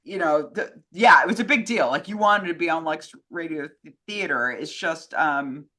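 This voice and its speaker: A woman's voice: sits low, normal speed, bright, forceful tone. A woman in casual film and radio chat, informal and opinionated, rambling with lots of "like". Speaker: co-host answering fan questions.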